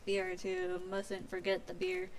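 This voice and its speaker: A woman's voice: medium pitch, big pitch swings, takes it slowly, a little hiss or hum. Woman acting a grumbling, folksy seaside cook. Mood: disgusted, regretful. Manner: folksy, complaining, exclaiming. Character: grumbling rustic seaside cook in a fantasy game. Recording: music behind the voice.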